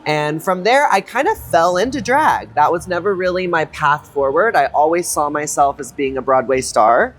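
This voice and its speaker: Playful, animated drag performer guest. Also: male voice, a little high, normal speed, hardly any pauses, faint music behind. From Texas. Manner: animated, playful, campy quips. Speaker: guest, drag artist and choreographer. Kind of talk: casual interview chat.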